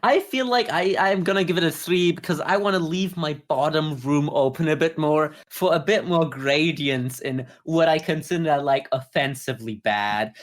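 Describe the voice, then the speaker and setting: A man co-hosting a podcast, talking through his views in a measured, explanatory way in a casual review discussion. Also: a little high. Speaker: podcast co-host.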